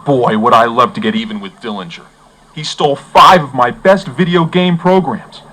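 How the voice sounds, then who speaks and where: An actor playing an eager, earnest hero in a children's computer adventure story. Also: male voice, medium pitch, moderate pace, rough recording. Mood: friendly, bitter. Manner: animated, earnest. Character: eager, heroic young men in computer adventure. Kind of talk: children's audio story acting. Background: loud music.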